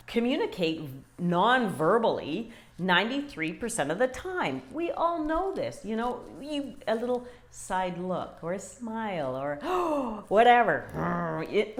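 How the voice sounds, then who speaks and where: A chatty woman vlogger with a loud voice speaks straight to her viewers. Her pitch swings up and down a lot as she talks, and she keeps asking them questions. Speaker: wellbeing coach and vlogger. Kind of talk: casual direct-to-camera talk.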